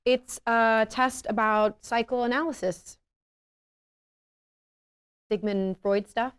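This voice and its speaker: A woman's voice: on the high side, steady tone, takes it slowly, smooth. A woman at a comedy table read playing a hesitant, wistful daughter in her early twenties. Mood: hesitant, low-key. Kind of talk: table reading of a comedy script.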